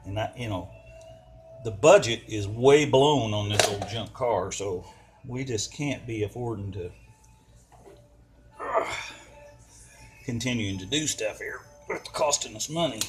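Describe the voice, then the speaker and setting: A man's voice: lowish voice, a little slow, pauses often. Folksy older man, music behind. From United States. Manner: folksy, colloquial, muttering to himself while working. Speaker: DIY mechanic, video host. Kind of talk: casual hands-on repair narration.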